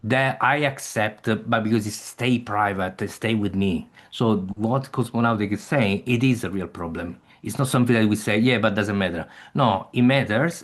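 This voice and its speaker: A man leading an online meeting, speaking haltingly with frequent restarts and non-native phrasing. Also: lowish voice. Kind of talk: casual discussion.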